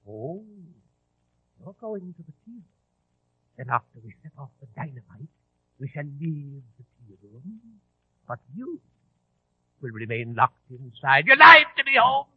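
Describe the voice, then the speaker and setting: An actor playing a menacing, taunting leader of a bomb plot, in a theatrical old-time radio style. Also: male voice, a little high, slightly rough, no room sound, full character voice. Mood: bitter menace. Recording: muffled, tinny 1940s radio recording.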